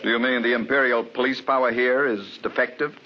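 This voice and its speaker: An actor playing a polite, earnest archaeologist who also narrates, in 1950s radio acting with a dull recording and hiss. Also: male voice, mid-range voice, fairly even intonation, a little fast, close to an everyday voice. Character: earnest, tolerant male archaeologist. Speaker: narrator of the story.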